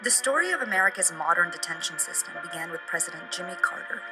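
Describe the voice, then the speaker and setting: A young woman reads an earnest documentary script in a slightly low voice. Music plays beneath her narration. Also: expressive, fast talker, poor audio. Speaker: student narrator.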